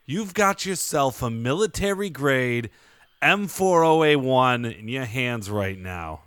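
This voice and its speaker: An actor playing a cocky vampire biker thug, goading and theatrical with mock-grandiose flourishes. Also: male voice, medium pitch, expressive, takes it slowly, a bit bright, clear, resonant tone, no echo. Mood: goading, smug. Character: cocky male biker-type vampire thug, taunting ringleader.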